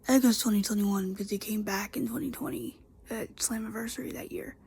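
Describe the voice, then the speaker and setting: A woman's voice: medium pitch, steady tone, quick pace, vocal fry, a little mellow, husky. A woman vlogger with a congested voice, recapping a wrestling show in a chatty, rambling way with many fillers and restarts. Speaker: YouTube wrestling reviewer. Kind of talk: casual solo show recap.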